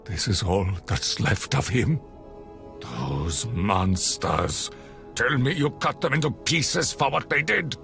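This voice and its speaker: A man's voice: medium pitch, animated, slow pace, gravelly, fairly bright tone, soft background music, heavily acted. An actor playing a bitter, grieving village man in a video game, speaking with intense, accusing emotion. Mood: anguished anger.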